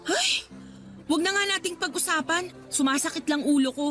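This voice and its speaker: A woman's voice: very high voice, normal speed, pushed and bright. An actress playing an exasperated, sulky young working girlfriend with a Filipino accent, huffy and nagging in a radio drama. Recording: background music under the voice.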